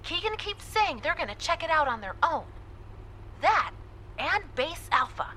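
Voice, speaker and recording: A woman's voice: sits high, sing-song, unhurried, bright, forceful tone, slight background noise, full character voice, poor audio. A woman playing a frustrated, determined organizer at a moon base in an audio drama. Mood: worried.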